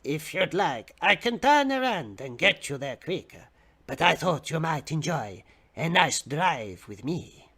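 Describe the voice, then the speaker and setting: A man voicing a suave, unhurried vampire city bus in a storybook reading, charming with a calm menace. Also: fairly high pitch, full character voice. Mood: smooth, coaxing. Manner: slow, smooth, charming.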